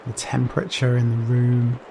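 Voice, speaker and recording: A man leading a guided meditation in a gentle, soothing manner, leaving long pauses between phrases. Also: medium pitch, vocal fry. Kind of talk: guided Yoga Nidra meditation. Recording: steady rain noise behind voice. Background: rain sounds.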